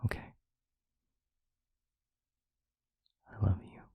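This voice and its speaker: A man playing a gentle, devoted vampire boyfriend in an intimate audio roleplay, speaking earnestly and haltingly in a breathy, husky near-whisper. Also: no echo, studio quality, natural voice. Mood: soothing, affectionate. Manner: slow, halting, tender reassurance.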